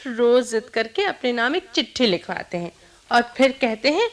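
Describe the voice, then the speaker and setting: A playful young newlywed speaks in a high voice that swings freely up and down. She is affectionate and coaxing, with a giggly, teasing edge, in classic radio play style. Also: very animated, moderate pace. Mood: fond, chatty. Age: young adult. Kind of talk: Hindi radio play acting.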